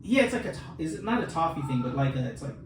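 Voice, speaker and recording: A man's voice: fairly high pitch, big pitch swings, racing. A man co-hosting a podcast, telling casual stories about books and acting out his own reactions. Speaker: podcast co-host. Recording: very echoey, noisy room, distant mic.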